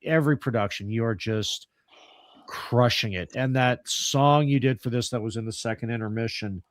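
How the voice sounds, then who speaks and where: A man's voice: mid-range voice, normal speed, dry recording. A man chatting casually with a group as one of a troupe of radio players, effusive and given to swearing. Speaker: member of a radio players troupe on a podcast network.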